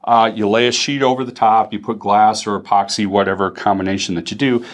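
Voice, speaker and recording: A middle-aged man speaks in a fairly low voice. He presents a product informally, explaining it step by step in a conversational manner. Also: steady tone, brisk. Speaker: company representative, product presenter. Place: small indoor demo room.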